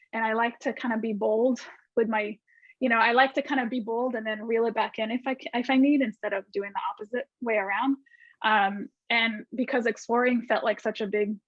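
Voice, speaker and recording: A woman from Argentina, a guest designer, talks in a chatty run with lots of restarts and fillers. Her voice sits fairly high. The video call sound is dull and dry, with no room around it. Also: no echo.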